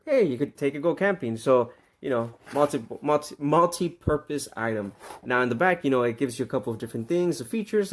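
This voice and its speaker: A man giving a casual, off-the-cuff product review, informal and a little halting as he lists features. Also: mid-range voice. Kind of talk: casual unboxing review commentary.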